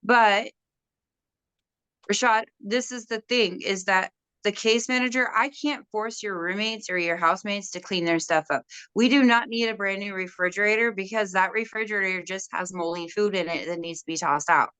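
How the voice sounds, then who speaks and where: A young woman with a loud voice, casually telling a story about a stubborn resident at work and acting out both sides. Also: mid-range voice, normal speed, smooth, no room sound, rough recording. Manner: animated storytelling, quoting others. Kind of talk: casual conversation.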